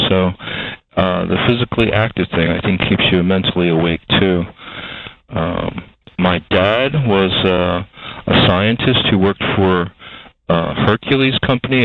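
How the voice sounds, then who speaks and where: A man in his sixties from Delaware with a Delaware-Philadelphia accent, giving a rambling interview full of fillers and restarts. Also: deep voice. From Delaware, USA. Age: 60s. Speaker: guest. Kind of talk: casual interview talk. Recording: tinny phone line.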